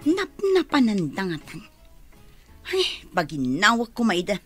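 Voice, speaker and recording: A woman's voice: medium pitch, huge pitch swings, measured pace, a bit bright, soft background music. A woman playing a grumbling, fretful caretaker. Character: grumbling caretaker woman, worried about blame. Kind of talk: radio drama acting.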